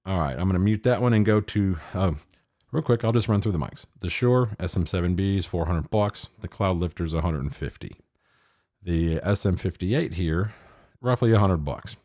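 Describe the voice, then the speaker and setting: A middle-aged man with a very low voice gives a casual demonstration of recording gear. He rambles in an unpolished, self-deprecating way. He is close on a studio mic, and the sound is dry. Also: moderate pace, mellow, dry recording. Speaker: podcaster demonstrating audio gear. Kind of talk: casual gear review talk. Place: small home office studio, untreated room. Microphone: close studio microphones with pop filters.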